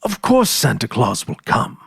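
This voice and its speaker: Man acting as ten-year-old farm boy, boastful, eager, emphatic, swooping pitch, dry storybook recording. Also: huge pitch swings, a little slow, creaky, fairly bright tone, slightly rough, no room sound, exaggerated voice. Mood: confident, scoffing. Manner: emphatic, earnest, know-it-all.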